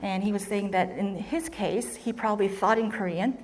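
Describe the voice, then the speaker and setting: A woman moderating a talk and interpreting between speakers with very fast, fluent delivery. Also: mid-range voice, fairly even intonation, darkish tone, big-room sound, a little hiss or hum, low-quality recording. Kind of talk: interpreting between Korean and English. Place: large hall.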